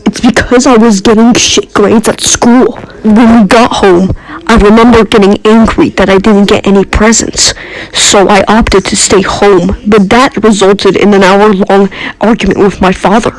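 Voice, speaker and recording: A man's voice: high voice, rough recording. A man narrating a scripted first-person horror comedy story.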